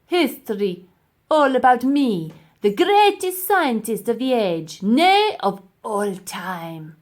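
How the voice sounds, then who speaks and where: Pompous old male scientist for a storybook, played by a woman: boastful, scornful, slow and emphatic. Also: fairly high pitch, big pitch swings.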